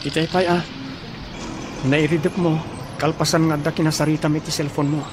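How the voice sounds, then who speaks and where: A man's voice: fairly high pitch, level tone, fairly quick, noisy background, close to an everyday voice. A man acting a watchful, scheming man who urges and explains in a radio drama. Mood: chiding. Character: scheming, watchful adult man giving instructions.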